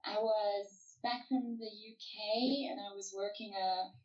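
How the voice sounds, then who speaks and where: A woman in her thirties from South Africa, an author chatting casually as an interview guest, relaxed with fillers and restarts. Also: medium pitch, slow, highs cut off.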